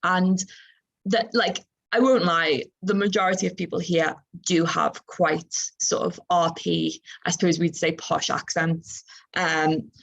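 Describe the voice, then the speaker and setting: A young woman with a softened Liverpool accent, talking informally on a student panel in a chatty, halting, self-correcting way. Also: fairly low pitch, fairly even intonation, creaky, low-quality recording. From Liverpool, England. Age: young adult.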